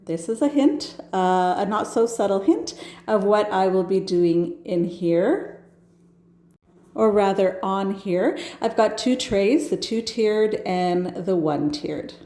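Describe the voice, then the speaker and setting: A woman's voice: mid-range voice, slow pace, warm tone, roomy sound. Stuffy-nosed woman gushing over decor. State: stuffed up from a cold. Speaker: home decor vlogger. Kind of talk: friendly decorating narration. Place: home kitchen.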